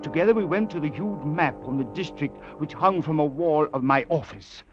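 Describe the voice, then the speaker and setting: An actor playing a troubled, fatalistic district commissioner, intense and brooding in an old-time radio drama style. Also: male voice, on the high side, lively intonation, normal speed, lightly acted. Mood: brooding, awed. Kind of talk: 1940s-50s radio drama narration and acting. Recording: muffled vintage radio recording, music behind.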